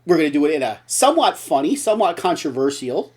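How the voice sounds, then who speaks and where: Lively joking middle-aged man hosting. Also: on the high side, quick pace, slightly rough. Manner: blunt, joking, rambling. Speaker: host. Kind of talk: casual comedic chat show.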